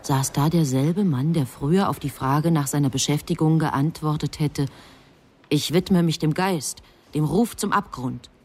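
A woman narrating a literary radio drama as a composed, measured storyteller. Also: low-pitched, a little mellow, breathy edge. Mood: composed, reflective.

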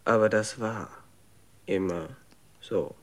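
A man playing a calm, resigned figure who answers in a detached, matter-of-fact way. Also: on the low side, slow. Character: resigned, calm man accepting dull life. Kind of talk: radio drama acting.

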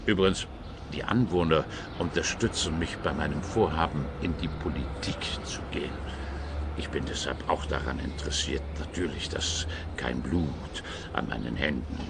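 An actor playing an indignant, pompous, bumbling and slightly lecherous neighbour in a comic radio play, blustering and trailing off. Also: male voice, very deep voice, moderate pace, vocal fry, very noisy. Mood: scheming, blustering. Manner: blustering, hesitant trailing phrases.

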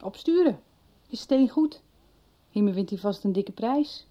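An actress with a husky voice playing an earnest, opinionated woman in a conversational radio soap. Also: medium pitch, expressive, unhurried. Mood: earnest, admiring. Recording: dull 1990s radio recording.

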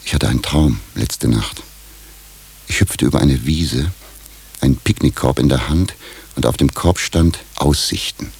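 A restless, middle-aged professor hungry for adventure in a radio comedy. His voice is extremely low and gravelly. He confides and complains insistently, full of self-pity. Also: a little slow, a little mellow, exaggerated voice. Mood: pleading, yearning. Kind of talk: comic radio drama acting. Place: radio drama studio.

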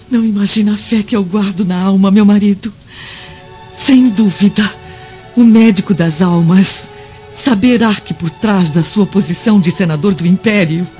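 A woman's voice: medium pitch, a little slow, a little mellow, natural voice. A woman playing a devout, worried Roman wife and mother in a radio drama, speaking in an earnest, imploring way. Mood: relieved, devout. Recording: dull lo-fi audio over music.

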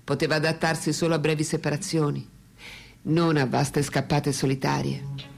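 A woman's voice: deep voice, little pitch movement, normal speed, creaky. An actress in a radio play voicing a thoughtful, candid French woman intellectual with an articulate, reflective way of speaking. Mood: reminiscing. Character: female French intellectual, philosopher's lifelong companion, thoughtful, candid. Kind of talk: radio play dialogue and monologue.

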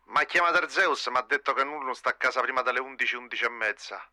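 A man's voice: mid-range voice, quick pace, sharp tone, roomy sound. A man playing a sly young Roman friend in a radio drama, talking in casual, offhand slang with a Roman accent. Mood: casual, conniving.

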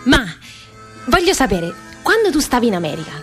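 An actress playing a young, insistent daughter of emigrants in radio theatre, speaking. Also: female voice, a little high, sing-song, brisk, over music. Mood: insistent, curious. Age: young adult. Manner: insistent, repetitive questioning. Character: insistent, curious young daughter questioning her emigrant mother. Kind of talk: radio theatre dialogue and monologue.

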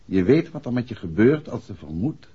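An actor playing a calm, probing gentleman detective in a 1960s Dutch radio drama. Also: mid-range voice, normal speed, husky. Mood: reassuring, firm. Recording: dull 1960s radio recording.

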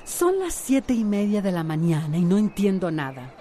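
A woman's voice: medium pitch, sing-song, normal speed, mellow, lightly acted. A woman playing a sceptical, independent, exasperated character in a radio drama. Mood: incredulous. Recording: noisy background.

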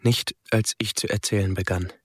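An actor playing a reflective young outsider, narrating in the first person in a German radio drama, quiet and measured. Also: male voice, on the low side, fairly even intonation, husky, no echo, clean studio sound. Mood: tender, reflective. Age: young adult.

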